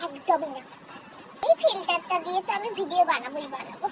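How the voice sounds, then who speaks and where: A woman chatting casually to viewers as a vlogger, her voice run through a high-pitched voice-changer filter. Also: expressive, fairly quick, heavy background noise.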